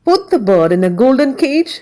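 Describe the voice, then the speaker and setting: A woman's voice: high voice, very animated, normal speed, mellow, voice pushed up. A woman voicing a powerful male emperor in a fairy tale, imperious and demanding, with a commanding, emphatic manner. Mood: moved, demanding. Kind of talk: storybook fairy tale character.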